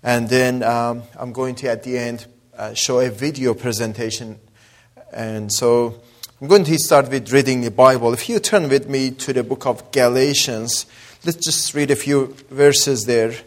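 A man with a mix of Azeri, Persian and Australian accent, preaching as a guest with scripture reading and prayer, pausing with fillers and rising to emphatic delivery. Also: medium pitch. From Azerbaijani-Iranian background. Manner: builds from soft opening to raised emphatic preaching; hesitant fillers between phrases.

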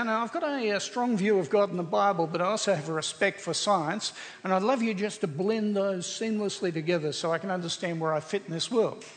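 A man with a somewhat high, gravelly and croaky voice preaches a sermon in a relaxed, conversational way. He keeps turning to the congregation to ask if they follow him. His words ring out with the long reverb of a large hall. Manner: conversational, checks in with the audience.

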